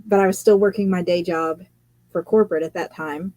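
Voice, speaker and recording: A woman with a low, mellow voice, a guest author and editor in a relaxed live chat. She tells long stories, acts out what others said and laughs easily. The sound is dry and close. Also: fairly quick, no echo. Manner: chatty storytelling, quotes others, laughs often.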